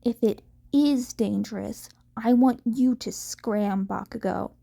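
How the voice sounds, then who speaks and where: A woman voices a brash female hero mentor in an audiobook story. She is bossy and curt, giving orders and teasing in a slightly high, unhurried voice. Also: creaky, dark tone, full character voice. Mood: stern, threatening.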